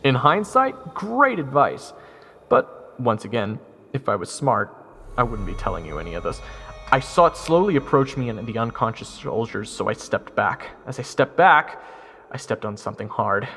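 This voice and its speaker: A man's voice: mid-range voice, very animated, moderate pace, fairly bright tone, slightly rough, full character voice. A man playing a wry, self-deprecating young soldier narrating his fantasy adventure in first person. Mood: wry, tense.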